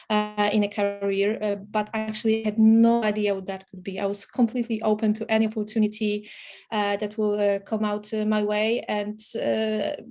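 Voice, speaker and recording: A woman's voice: mid-range voice, darkish tone. A woman from Poland, a native Polish speaker with a Polish accent, telling her story casually as an interview guest. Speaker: podcast guest, startup investor.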